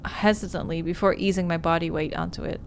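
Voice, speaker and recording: A woman game streamer on a livestream playthrough, reading and talking through a visual novel in an expressive storytelling way. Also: on the low side, quick pace, creaky, slight background noise.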